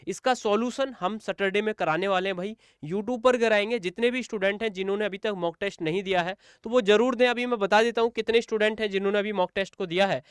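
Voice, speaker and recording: A man's voice: sits high, smooth, no room sound, clean studio sound. A man teaching students in an informal walkthrough, repeating points and answering his own questions. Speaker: exam coaching teacher.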